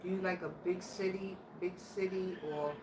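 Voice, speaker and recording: A woman's voice: on the low side, steady tone. A woman in her sixties, from Virginia, casually working through a question challenge in a plainspoken, reflective way. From Virginia, USA. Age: 60s. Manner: plainspoken, slow and reflective, answering questions aloud. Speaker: vlogger. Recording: echoey room, voice from a distance, faint background noise.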